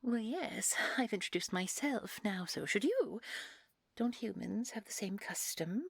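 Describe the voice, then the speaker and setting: Fairy aristocrat, played by a woman: prim, vain, naive, lilting and breathy, close dry audio roleplay sound. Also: medium pitch, lively intonation, measured pace, no room sound, full character voice. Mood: polite, flustered. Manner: prim, fluttery, hesitant politeness.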